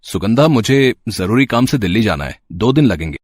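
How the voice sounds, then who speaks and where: A man's voice: fairly low pitch, very animated, fairly quick. A man playing a mild-mannered husband and father, speaking plainly and politely. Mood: calm.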